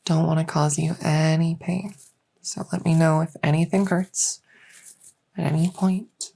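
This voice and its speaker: A woman playing a gentle, reassuring fairy-dragon clinician in an unhurried, slightly hesitant medical roleplay ASMR. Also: deep voice, fairly even intonation, creaky, slightly rough, exaggerated voice. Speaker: roleplay audio performer.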